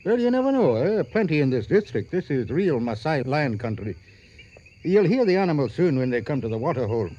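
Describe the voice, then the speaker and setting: An old safari hunter in a radio play. He is laconic and patient, with thoughtful pauses and a widely moving pitch, on a slightly muffled recording. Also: big pitch swings, mellow. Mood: patient, reflective. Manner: laconic, measured, hesitant pauses. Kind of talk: radio drama acting.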